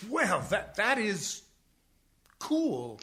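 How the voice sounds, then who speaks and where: Man playing a high, bright, blustering jazz dad. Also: wildly swooping pitch, very slow, heavily acted. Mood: flustered. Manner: hip jazz slang, stammers when flustered. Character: eager, blustering jazz trumpeter father, delusionally hopeful. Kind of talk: comic radio play acting.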